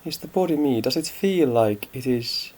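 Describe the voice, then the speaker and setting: A man guiding a meditation in a calm, unhurried manner, leaving long pauses between phrases. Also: medium pitch. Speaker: meditation guide. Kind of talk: guided meditation, unscripted instruction.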